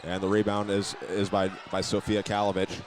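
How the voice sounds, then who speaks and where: Low, even-voiced man calling basketball. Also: normal speed. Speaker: play-by-play announcer. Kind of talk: live basketball play-by-play commentary. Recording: noisy gym ambience behind the voice.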